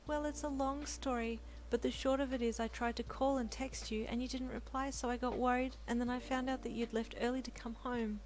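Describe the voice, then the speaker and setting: A woman voicing a gentle, emotional young pregnant wife who speaks softly and gratefully. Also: fairly high pitch, fairly even intonation, fairly quick, hardly any pauses, pitched above the performer's own voice, lightly acted, rough recording. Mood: tender. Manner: soft, tearful and grateful.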